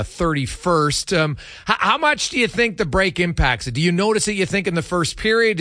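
A man's voice: a little high, pushed and bright, dry recording, clean studio sound. A man hosting a sports radio interview, asking questions in a conversational way.

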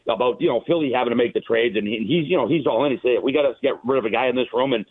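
A man's voice: medium pitch, very fast, slightly rough, no room sound. A man hosting casual sports talk about hockey, rambling informally, heard over a tinny, narrow phone-like line.

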